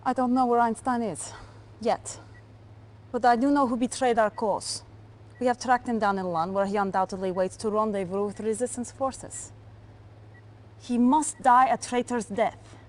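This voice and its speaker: An actress playing a cold Soviet intelligence officer, giving clipped, authoritative military briefings in a game cutscene. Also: female voice, fairly high pitch, fairly even intonation, normal speed, close to an everyday voice. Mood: stern, vengeful.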